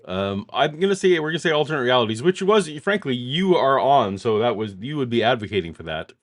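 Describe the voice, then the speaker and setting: A man co-hosting a casual livestream chat, relaxed as he runs the segment. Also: medium pitch, fairly quick. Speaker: co-host.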